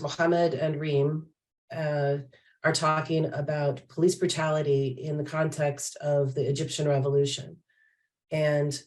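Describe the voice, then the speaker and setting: A woman giving an informal presentation, explaining things in a halting way as she introduces material. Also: sits low, a little mellow, recorded from a distance. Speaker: panel presenter and teacher.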